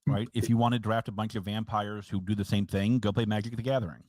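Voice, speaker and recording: A man with a lower voice co-hosting a casual podcast, emphatic and opinionated, teasing as he goes. The sound is close and dry. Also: steady tone, fast talker, darkish tone, no echo. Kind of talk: casual chat about a card game.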